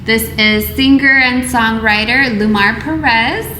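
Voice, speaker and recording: A woman hosting an interview podcast, chatting casually with many pauses and restarts. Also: fairly high pitch, pushed and bright, echoey room, faint music behind, low-quality recording. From United States. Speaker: podcast host.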